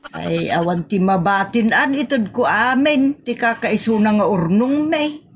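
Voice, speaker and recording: A woman's voice: medium pitch, lively intonation, unhurried, a little hiss or hum. A woman with an Ilocano accent playing a thrifty, wary married village woman in a comedy audio drama, plain-spoken and questioning. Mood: reluctant.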